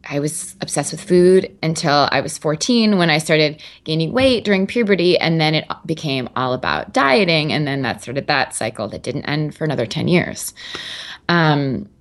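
A woman's voice: deep voice, lively intonation, normal speed. A woman in her twenties talking candidly as an interview guest, chatty and rambling with many fillers and restarts.

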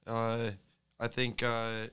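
Laid-back man in his thirties, bright voice. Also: medium pitch, completely flat delivery, very slow, clean tone. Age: 30s. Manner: laid-back, rambling with many fillers and restarts. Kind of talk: casual chat.

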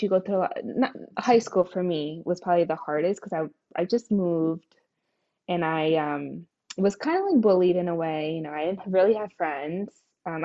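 A young woman co-hosting a podcast, rambling casually and correcting herself with "you know" and "like". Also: lowish voice. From New Jersey, US. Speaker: podcast co-host. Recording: dull lo-fi podcast audio.

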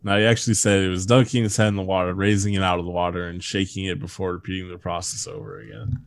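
Low-voiced man reading with jokes. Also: level tone, fast, gravelly, no echo. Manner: reads stories aloud, breaks off laughing and riffing. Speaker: podcast host reading a countdown list. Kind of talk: comedic reading with banter.

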